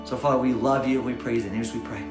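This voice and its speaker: Earnest pastor preaching in an echoey room. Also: male voice, medium pitch, rapid-fire. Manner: earnest, animated, swings between loud urging and soft prayer. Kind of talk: short video sermon to camera. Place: bright home room with windows, indoors. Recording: echoey room, faint music behind.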